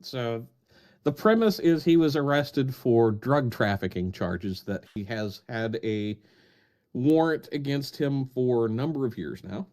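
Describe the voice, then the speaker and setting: A man's voice: mid-range voice, expressive, unhurried, darkish tone. A man chatting casually on a podcast call, wry and joking. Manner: wry, joking, reads foreign text aloud with flair. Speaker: podcast regular.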